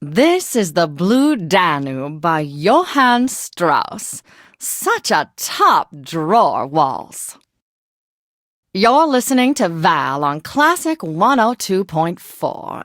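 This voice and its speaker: An actress playing a posh, flamboyant, tipsy classical radio DJ in a video game, with a gushing, affected, genteel manner. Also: female voice, fairly low pitch, big pitch swings, slow, fairly bright tone, no room sound, clean studio sound, exaggerated voice. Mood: tipsy, airy. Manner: theatrical, affected, genteel and effusive.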